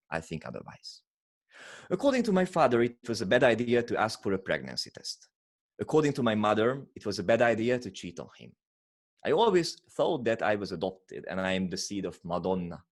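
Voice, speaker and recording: Hungarian guy, lowish voice, halting english, hunts for words, swears a bit. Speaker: poetry slammer. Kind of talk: casual chat and poetry performance.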